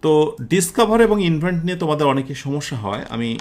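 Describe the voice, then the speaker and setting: Bangladeshi guy teacher doing a live lesson, highish voice, really up and down, keeps checking you get it. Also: lively intonation. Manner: instructive, checks understanding often, slows down for English examples. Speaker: English teacher for university admission students. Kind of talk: live class lecture mixing Bengali and English.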